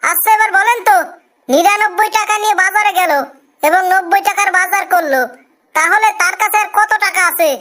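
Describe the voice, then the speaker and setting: Cartoon interviewer: extremely high voice, Bangladeshi accent, tired, grumbling, rustic, easily impressed. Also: female voice, steady tone, brisk, fairly bright tone. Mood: pleased, approving. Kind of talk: comic cartoon dialogue.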